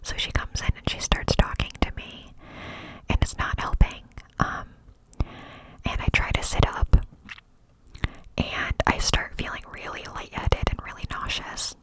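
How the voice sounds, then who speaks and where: A woman's voice: a little slow, pauses often, a bit bright, rough recording. A young woman telling a personal story in a chatty whisper, with many fillers. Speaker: vlogger.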